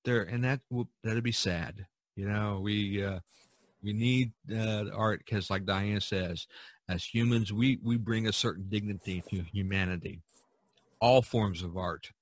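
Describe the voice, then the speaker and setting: A man's voice: fairly low pitch, steady tone, measured pace, no room sound. A man hosting a casual podcast discussion, speaking emphatically and repeating phrases for stress. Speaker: podcast host.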